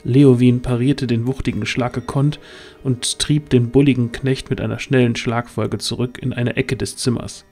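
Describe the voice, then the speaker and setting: A man's voice: mid-range voice, steady tone, normal speed, a little mellow. A man giving scripted narration for a fantasy audio drama, as its storyteller. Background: music.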